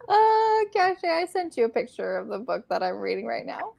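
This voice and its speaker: A woman's voice: on the high side, sing-song, moderate pace, vocal fry, a little mellow. A woman co-hosting a book livestream, chatting casually with a playful, easily amused manner. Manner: laughs readily, playful. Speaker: co-host of a book discussion livestream. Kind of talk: casual chat.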